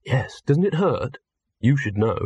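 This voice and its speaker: A man's voice: mid-range voice, very animated, a little slow, darkish tone, breathy edge, dry recording, exaggerated voice. A man voicing an earnest young male hero, wry and impatient, in an audiobook story. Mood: puzzled.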